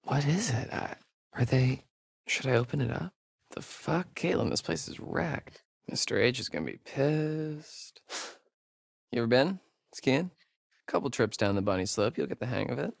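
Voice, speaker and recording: Casting: wry young American camp counselor for a horror game; naturalistic, sarcastic, mid-pitched with vocal fry. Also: male voice, expressive, normal speed, no echo, clean studio sound. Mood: nervous, then dry, teasing. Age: young adult. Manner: sardonic, hesitant, conversational. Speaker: video game actor.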